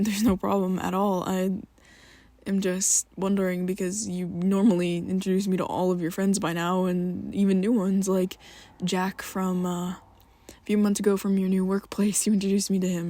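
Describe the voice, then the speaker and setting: A woman in an ASMR roleplay, talking to the listener as a worried best friend, halting and rambling, often sinking into a soft whisper close to the mic. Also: mid-range voice, flat delivery, no echo. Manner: hesitant and rambling, halting restarts.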